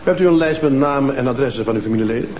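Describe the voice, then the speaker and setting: Casting: male actor for blunt, stubborn police detective in a TV drama. Dry, curt, quick delivery over clearly noisy sound. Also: mid-range voice, darkish tone, natural voice. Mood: brisk, businesslike. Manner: dry, curt, giving orders. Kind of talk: naturalistic TV crime drama dialogue.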